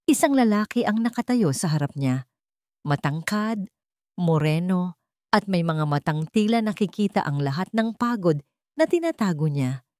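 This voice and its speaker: A woman's voice: fairly low pitch, darkish tone, no room sound, studio quality. A woman with a Filipino accent reading a scripted romantic drama story as its narrator.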